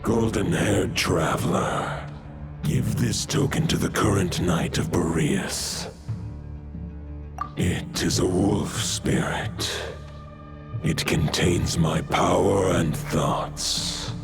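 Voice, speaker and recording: Ancient gravelly wolf king, deep and slow. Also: heavily acted. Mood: solemn, commanding. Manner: solemn, formal, archaic phrasing. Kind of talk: video game voice acting. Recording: loud background music.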